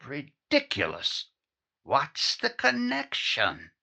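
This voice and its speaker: A dogged newspaper reporter from a vintage crime drama, acted by a man. His voice is bright and forceful, swinging widely in pitch. He speaks unhurriedly yet with insistent urgency, in old-time radio style. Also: wildly swooping pitch, drawn-out words, vocal fry, slightly rough, exaggerated voice. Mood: preoccupied, evasive.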